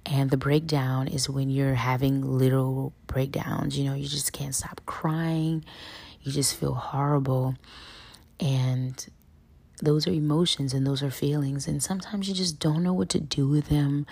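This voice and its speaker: A young woman hosting her podcast from a hotel room, hoarse with a soft raspy voice, chatting casually and laughing now and then. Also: low voice. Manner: relaxed and chatty, laughs often, slangy asides. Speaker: podcast host. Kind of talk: casual solo podcast chat.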